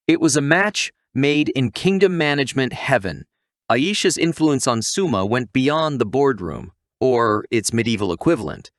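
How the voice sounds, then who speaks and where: A man's voice: mid-range voice, fairly bright tone, no echo, clean studio sound. A man reading a scripted recap narration in a wry, tongue-in-cheek storytelling manner.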